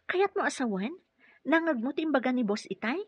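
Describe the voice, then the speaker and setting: A woman's voice: sits high, lively intonation, brisk, breathy edge, dry recording. An actress with an Ilocano Filipino accent playing a caring but firm therapist and young mother in a radio drama. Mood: earnest. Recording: dull lo-fi radio drama, music underneath.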